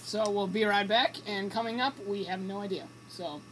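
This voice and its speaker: A young co-host with a mid-pitched voice and faint room noise behind. They banter casually on an amateur comedy radio show, hesitant and unpolished like a sidekick. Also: moderate pace, fairly bright tone, low-quality recording. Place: bedroom home studio.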